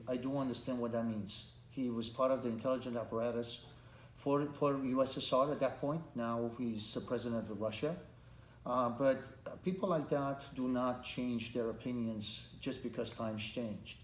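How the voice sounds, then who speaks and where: Middle-aged Romanian-born man, earnest and halting, mid pitch, taped in a reverberant room. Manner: earnest, halting, many restarts. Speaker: congressional candidate. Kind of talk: interview answers. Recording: echoey room, faint background noise.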